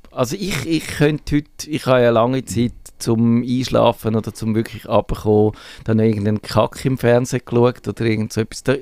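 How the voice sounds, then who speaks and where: A man's voice: mid-range voice, a little mellow, clear, resonant tone, dry recording. A man with a Swiss German accent co-hosting a casual podcast chat, thinking aloud and searching for words as he goes. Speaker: podcast co-host.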